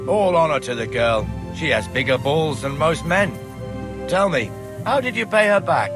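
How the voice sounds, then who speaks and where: A man's voice: fairly high pitch, animated, normal speed, over music. A man voicing a blunt, bossy town watchman in a medieval video game, with a chatty, hectoring manner. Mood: jovial, teasing. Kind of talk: dramatic game cutscene dialogue.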